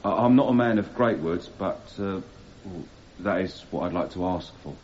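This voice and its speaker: Hesitant, plain-spoken foreman male actor, radio drama: deep voice, fumbling delivery, muffled recording. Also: a little mellow, slight background noise, lightly acted. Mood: nervous, humble. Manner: halting, fumbling for words. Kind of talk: naturalistic radio drama acting.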